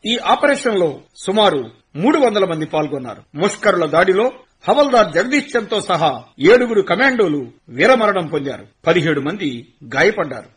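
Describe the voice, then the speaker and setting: A man narrating a radio play in a measured, commemorative tone. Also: sits high, big pitch swings, fairly bright tone, slightly rough. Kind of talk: scripted radio drama narration.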